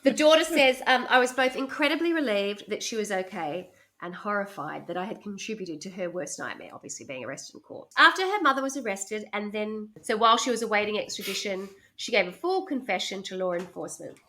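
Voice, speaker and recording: Bright, chatty woman telling a story. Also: medium pitch, animated, brisk, few pauses. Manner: chatty, laughs often, reads from notes. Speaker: podcast host telling a true crime story. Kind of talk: casual chat, storytelling.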